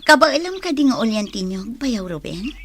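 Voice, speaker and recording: High-voiced affectionate young sweetheart. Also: female voice, very animated, normal speed, a bit bright, slight background noise. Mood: cheerful. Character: affectionate young woman in love, sweet and hospitable. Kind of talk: radio drama acting.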